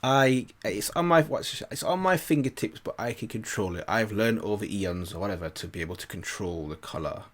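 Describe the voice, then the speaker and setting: A man's voice: lowish voice, animated, a little fast, hardly any pauses. A man hosting a reaction video, casually commenting on game cutscenes and musing half to himself.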